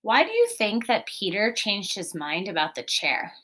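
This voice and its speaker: A woman reading a storybook aloud as a teacher, expressive and explanatory, putting guiding questions to young children. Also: mid-range voice, moderate pace, breathy edge.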